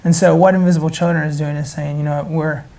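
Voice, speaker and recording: A woman's voice: deep voice, fairly even intonation, quick pace, warm tone. A woman giving casual, enthusiastic interview answers as a member of a charity team.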